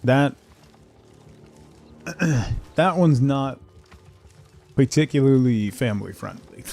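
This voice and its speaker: A man's voice: medium pitch, expressive, slow pace, gravelly, a little mellow, faint music behind. A man livestreaming a game with casual, conversational commentary, chatting to viewers in a laid-back way.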